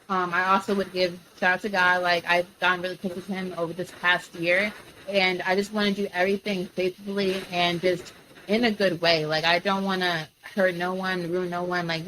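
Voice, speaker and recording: A woman's voice: fairly low pitch, steady tone, fairly quick, fairly bright tone, a little hiss or hum, low-quality recording. A woman in her twenties, a track athlete and sports reporter, talking earnestly and with slang as a guest on a casual podcast. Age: 20s.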